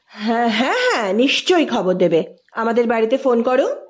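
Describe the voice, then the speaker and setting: A woman's voice: fairly high pitch, big pitch swings, a little fast. A woman voicing a concerned, tender stepfather in an audio story, in a grave and sympathetic manner. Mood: flustered. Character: concerned, tender married man, anxious stepfather.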